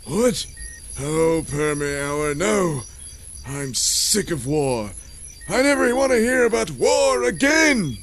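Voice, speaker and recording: Man as callous, weary cartoon doctor of science, halting, animated pitch, music underneath. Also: full character voice. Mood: anguished, fed up.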